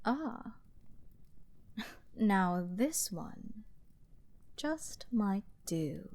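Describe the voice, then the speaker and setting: A woman's voice: mid-range voice, big pitch swings, very slow, a little mellow, no echo, exaggerated voice. A woman playing an arrogant young princess in a dramatic audio roleplay, haughty, petulant, theatrical and given to complaint. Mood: intrigued, condescending.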